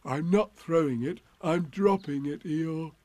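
Male reader playing earnest bear, halting, apologetic, slow pace, dry close sound, storybook. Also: a little high, darkish tone, no room sound, voice pushed up, natural voice. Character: earnest, hesitant, simple-minded male bear.

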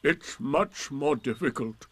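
A man voicing a gloomy, sarcastic donkey in a storybook, with drawn-out, emphatic, indignant delivery. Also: mid-range voice, husky, dry recording. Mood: sardonic, aggrieved.

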